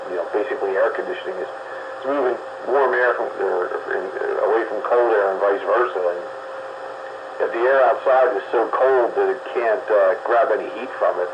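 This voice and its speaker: A man's voice: lowish voice, moderate pace. A man hosting a radio show, chatting casually with many fillers. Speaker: radio host. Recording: dull lo-fi radio sound with hiss.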